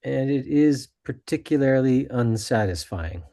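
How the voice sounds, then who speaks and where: A man's voice: medium pitch, animated, measured pace, warm tone. A man playing an inquisitive, hard-to-satisfy audience member at a screenplay table read. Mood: questioning.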